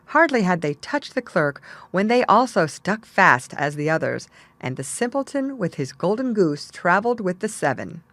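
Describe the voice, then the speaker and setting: A woman's voice: on the low side, sing-song, moderate pace, fairly bright tone, no echo. A woman reading a fairy tale as a storyteller, in scripted narration.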